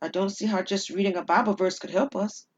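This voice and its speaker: A woman's voice: mid-range voice, fairly even intonation, brisk, dull audio, close to an everyday voice. A woman voicing a reserved, skeptical husband in an audio drama, plain and matter-of-fact. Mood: reserved, doubtful.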